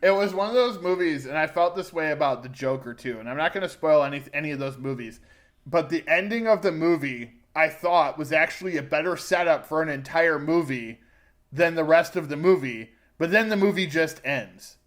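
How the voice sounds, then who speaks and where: Higher-voiced man chatting about movies. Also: fairly quick, fairly bright tone. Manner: conversational, informal, opinionated. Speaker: movie podcast co-host. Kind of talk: casual film review chat.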